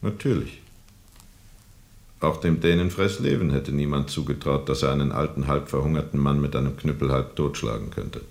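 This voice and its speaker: An actor playing a grave, seasoned sea captain who recounts a jungle river journey in a deliberate, brooding way for a radio drama. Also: male voice, a bass voice, vocal fry, a little mellow. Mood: sombre testimony. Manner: deliberate, brooding, measured storytelling. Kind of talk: radio drama narration, recounting under questioning.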